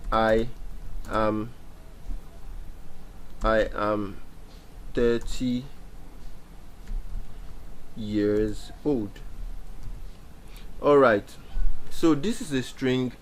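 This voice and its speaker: A young man talks through a beginner coding tutorial from a small indoor room, picked up by a laptop mic. He explains step by step, circling back to repeat key points, with plenty of ums along the way. His voice sits at an everyday middle pitch. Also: extremely slow, pauses often. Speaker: programming instructor. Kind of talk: screen-share coding tutorial.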